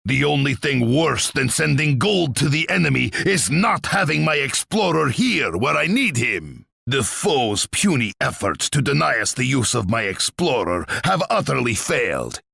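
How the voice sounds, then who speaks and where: An actor playing a scheming, imperious Russian tsar in a video game, pompous and menacing, savouring each taunt in a gravelly, raspy voice. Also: male voice, mid-range voice, very animated, normal speed, hardly any pauses, bright, forceful tone, dry recording, clean studio sound, heavily acted. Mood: haughty. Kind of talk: theatrical strategy game taunts.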